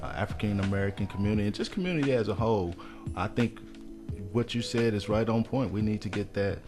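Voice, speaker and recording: A man's voice: fairly low pitch, quick pace, over music. A man speaking as a guest on a radio interview. He is a mentor and counselor, and he earnestly urges parents to support their kids.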